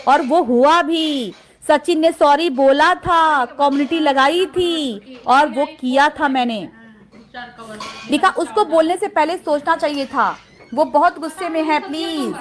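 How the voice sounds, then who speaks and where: A woman doing casual vlog commentary, reading messages aloud and addressing viewers directly in an indignant, emphatic manner. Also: high-pitched.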